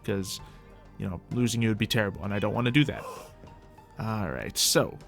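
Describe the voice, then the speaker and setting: A man's voice: on the low side, lively intonation, brisk, creaky, soft background music. A man giving casual, improvised roleplay game commentary, chatting and thinking aloud as host of a gaming video.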